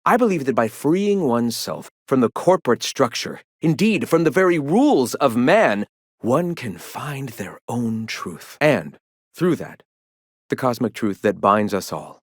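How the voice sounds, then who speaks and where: Video game rebel sect leader, lofty philosophical preacher, zealous, measured formal oratory, animated pitch, close dry recording. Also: male voice, no room sound. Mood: rapt, philosophical. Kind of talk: video game character dialogue.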